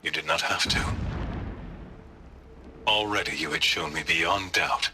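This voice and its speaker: A solemn grieving warrior. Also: male voice, deep voice, unhurried, background noise. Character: grieving stern warrior widower recording a memory. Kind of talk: game voice acting, recorded monologue.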